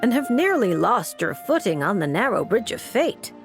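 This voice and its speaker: A woman's voice: medium pitch, big pitch swings, moderate pace, soft background music. A woman acting a cool, composed and blunt fortune-telling mage with a dry manner in a video game. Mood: solemn, advising. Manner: composed, matter-of-fact, dry.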